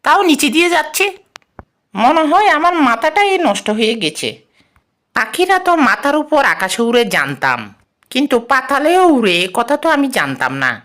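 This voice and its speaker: A performer with a woman-like voice plays an excitable, boastful cartoon cat who talks to itself in dramatic exclamations. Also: high-pitched, moderate pace. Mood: bewildered. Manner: exclamatory, dramatic, talking to self. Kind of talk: cartoon dub, comic monologue.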